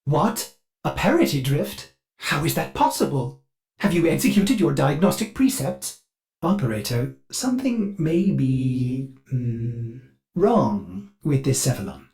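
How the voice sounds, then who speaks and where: A man's voice: mid-range voice, sing-song, unhurried, darkish tone, soft background music. A male actor voicing a devoted, anxious ship AI for a video game, speaking formally and theatrically through glitchy synthetic processing. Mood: earnest, concerned. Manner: theatrical, earnest, formal servile phrasing. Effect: synthetic glitchy robot voice processing. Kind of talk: video game character dialogue.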